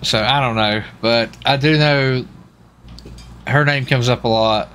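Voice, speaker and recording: Man streaming casually, bright forceful voice. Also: medium pitch, fairly even intonation, unhurried. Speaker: livestreamer and role-play gamer. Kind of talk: casual chat while streaming a game. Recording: faint background noise.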